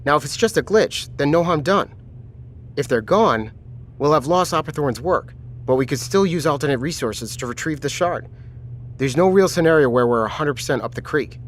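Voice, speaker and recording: An actor playing a pragmatic submarine commander in an audio drama, measured, reasoning and placating. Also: male voice, a little high, expressive, a little hiss or hum, lightly acted.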